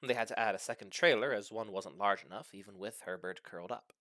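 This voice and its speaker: A man's voice: on the low side, quick pace, pushed and bright, no echo, studio quality. A man reading a comic short story aloud with mock-serious, dramatic delivery. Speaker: story reader.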